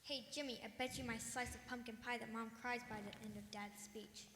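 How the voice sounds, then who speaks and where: A woman's voice: fairly high pitch, steady tone, a little fast, noisy background, close to an everyday voice. A woman playing a grown daughter, a college senior who is indignant and teasing, in an old-time radio comedy sketch. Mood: sly teasing. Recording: echoey hall, music behind.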